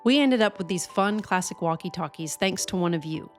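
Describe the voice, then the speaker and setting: Low-pitched American woman narrating over music. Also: animated, fairly quick. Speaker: co-host of a ham radio channel. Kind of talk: scripted narration.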